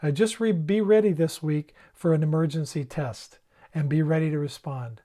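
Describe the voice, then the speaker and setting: A man's voice: on the high side, moderate pace, mellow. A man giving an informal, plain-spoken instructional explanation, step by step, as a presenter. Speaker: presenter demonstrating emergency radios.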